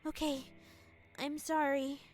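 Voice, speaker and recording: A woman playing a nervous, sickly young girl in an audio drama, speaking in timid, broken, halting phrases. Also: sits high, little pitch movement, darkish tone. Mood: meek, unwell. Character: nervous, sickly young girl, otherworldly creature in human disguise.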